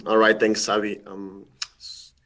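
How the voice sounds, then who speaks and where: A man's voice: on the low side, sing-song, fairly bright tone. Friendly man presenting. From Mexico. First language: Spanish. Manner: friendly, halting, often repeats words. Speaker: guest presenter. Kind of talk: casual live presentation. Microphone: video call.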